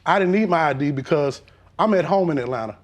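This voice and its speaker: A man testifying on a court show, hesitant and defensive, filling pauses with "um". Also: on the high side, lively intonation.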